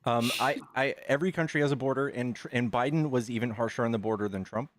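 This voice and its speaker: A man hosting a casual debate, asking rapid, pointed questions. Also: mid-range voice. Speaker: debate host.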